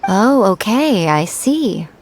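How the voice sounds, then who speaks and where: Sly, smug fox spirit. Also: female voice, medium pitch, very animated, slow pace, darkish tone, exaggerated voice. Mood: wry. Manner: smug, drawling, playfully haughty. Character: sly, teasing female fox spirit familiar, elegant, condescending. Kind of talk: English video game voice acting.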